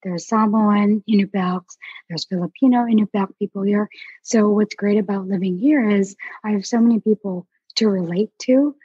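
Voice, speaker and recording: A young woman from Alaska, an artist chatting as a guest in a relaxed, rambling way with many fillers. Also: medium pitch, normal speed, husky, dry recording, dull audio. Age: young adult. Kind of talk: casual interview chat.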